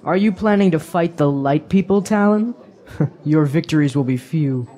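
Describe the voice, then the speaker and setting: A carefree young pleasure-seeker , acted by a man, with a mellow, lightish voice. He teases and philosophizes in wry, flowery lines from a fantasy radio drama. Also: on the high side, moderate pace, lightly acted. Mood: teasing. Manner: languid, wry, flowery phrasing. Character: carefree young hedonist drinker, teasing and philosophical.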